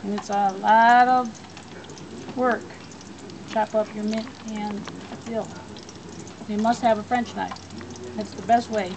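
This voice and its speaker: A woman's voice: mid-range voice, fairly even intonation, slow pace, pauses often. A woman giving plain step-by-step cooking instructions in a backyard. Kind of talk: cooking demonstration. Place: backyard outdoors. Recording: outdoor background noise.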